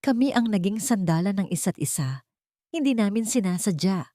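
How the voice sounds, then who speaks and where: A woman's voice: medium pitch, lively intonation, dark tone, no room sound, voice pushed up, close to an everyday voice. Audiobook drama role: remorseful man, acted by a woman, pleading, broken phrasing, dry sound. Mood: anguished pleading. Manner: pleading, halting, repeating phrases. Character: anguished, guilt-ridden young man begging forgiveness.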